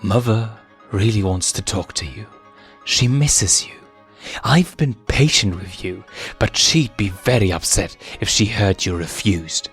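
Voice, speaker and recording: A man's voice: on the low side, unhurried, a bit bright, faint music behind. A man voicing a scornful young lioness who is insistent and commanding, with a sinister false courtesy, in a story reading.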